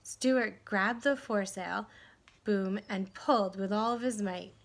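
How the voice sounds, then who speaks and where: A woman reading a children's story aloud in an expressive, dramatic way with deliberate phrasing. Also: medium pitch. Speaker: storybook reader.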